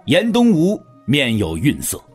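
A man's voice: medium pitch, huge pitch swings, a little slow. A man narrating a wuxia audio drama as a scripted, dramatic storyteller. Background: faint music.

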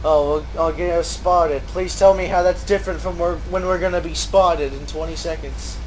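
A man's voice: a little high, fairly even intonation, moderate pace. A man giving mocking, sarcastic game commentary, swearing and ranting as he goes. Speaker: gaming video host. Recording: noisy, game sound behind.

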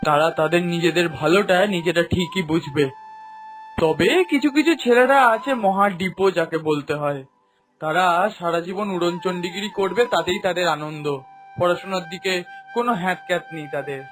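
Proud, doting cartoon father, middle-aged, male actor. High voice, expressive. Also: brisk, faint music behind. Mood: proud, reassuring. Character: proud, indulgent middle-aged father, protective of his daughter. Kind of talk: animated cartoon voice acting.

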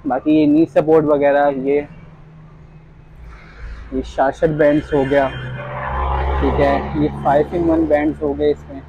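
A young man giving a casual, polite sales pitch, quoting products and prices as a shop salesman. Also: level tone, a little slow, long pauses, phone-quality sound, noisy background. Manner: polite, addresses customer as sir. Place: small tiled fitness equipment shop, indoors.

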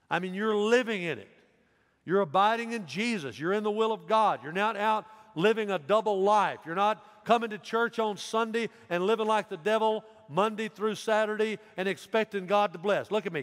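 A man's voice: high-pitched, a bit bright. An older man preaching a sermon from a church stage, direct and emphatic. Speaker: pastor. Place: large church sanctuary stage. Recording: long hall reverb in big sanctuary.

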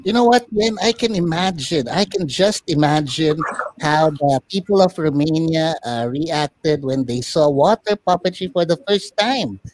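A man with a Filipino accent hosting a talk show interview in a playful, expressive, emphatic way. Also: fairly high pitch, a little slow, slight background noise, rough recording. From Philippines. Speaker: puppet show host.

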